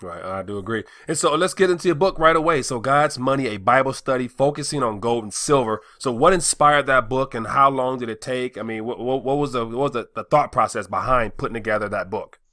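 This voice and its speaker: A man hosting an interview, asking long questions quickly and eagerly. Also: mid-range voice, sharp tone, no room sound, studio quality. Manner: quick, upbeat, leading questions. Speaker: interview host. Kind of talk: interview host.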